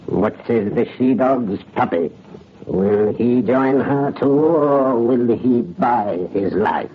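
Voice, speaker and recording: An actor in an old radio horror drama playing a cruel revolutionary commander who speaks with mocking, deliberate menace. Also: male voice, mid-range voice, natural voice. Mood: cruel mockery. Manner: mocking, sinister chuckling, deliberate. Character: gloating, cruel male revolutionary butcher commander. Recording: muffled, noisy old radio recording.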